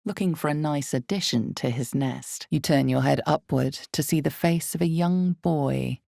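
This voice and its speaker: Very low, creaky ominous fantasy narrator. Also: female voice, dark tone, no room sound, studio quality. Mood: grave, suspenseful. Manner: deliberate, suspenseful, theatrical. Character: ominous female storyteller of dark fantasy. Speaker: game narrator. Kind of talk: dramatic second-person fantasy game narration.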